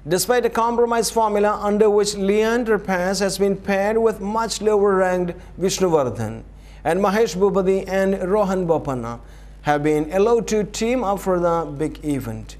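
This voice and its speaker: A man's voice: sits high, animated, normal speed, darkish tone. A man reading a scripted news bulletin in a formal announcer manner. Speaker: news reader.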